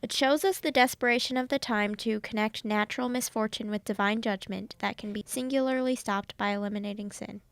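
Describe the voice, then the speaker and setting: A woman's voice: mid-range voice, steady tone, fairly quick, hardly any pauses, clean tone, no room sound, lightly acted. A woman playing a confident, scholarly student in an amateur audio drama, with fluent academic phrasing. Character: articulate, confident female student, scholarly know-it-all.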